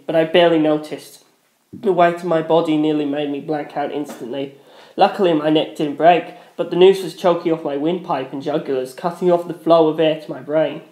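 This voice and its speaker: A man's voice: fairly high pitch, a little mellow. A man reading a first-person story aloud as a dramatic narrator. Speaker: audiobook-style narrator. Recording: roomy echo.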